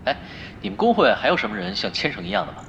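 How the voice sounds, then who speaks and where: Laid-back pro gamer, medium pitch, lively range, sly, casual, audio drama. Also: male voice, very fast, dull audio, slight background noise. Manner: casual, teasing. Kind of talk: Chinese audio drama acting.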